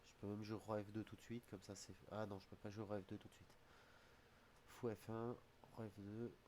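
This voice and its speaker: A man's voice: lowish voice, little pitch movement, fairly quick, dark tone, slight background noise. A man with a slight head cold who streams his chess games, muttering his calculations aloud in half-finished phrases. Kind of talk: thinking aloud while playing online chess. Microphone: streaming desk mic.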